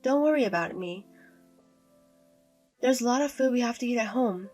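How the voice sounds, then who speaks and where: A woman's voice: fairly high pitch, animated, normal speed, soft background music. A woman voicing a timid, downtrodden young wife who speaks meekly and apologetically. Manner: meek, apologetic, soft-spoken.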